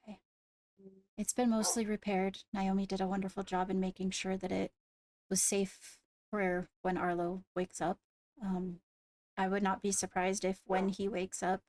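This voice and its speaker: A woman playing a composed court leader in a Minecraft roleplay, speaking formally and haltingly as she gives a briefing. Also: medium pitch, darkish tone, slight background noise, natural voice. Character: measured female court leader briefing on attacks. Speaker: roleplayer leading a court meeting.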